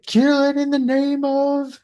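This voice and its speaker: A man's voice: very high-pitched, monotone, slow pace, dark tone, highs cut off. A man playing a cocky, exuberant buddy who acts tough, at a script table read.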